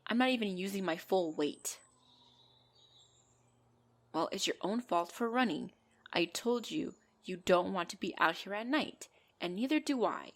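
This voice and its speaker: A woman's voice: medium pitch, lively intonation, measured pace. A woman voicing a possessive female centaur in an ASMR roleplay, bantering and commanding, with dry exasperation. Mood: exasperated, bossy. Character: possessive female centaur, bossy, teasing, half-gentle captor.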